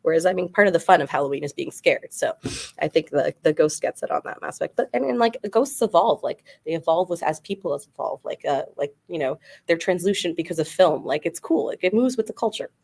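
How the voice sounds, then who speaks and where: A woman's voice: sits low, animated, fairly quick, gravelly, a little mellow. A woman guest in a casual podcast debate, rambling with lots of "like" fillers as she argues her point. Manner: rambling, heavy use of "like" fillers.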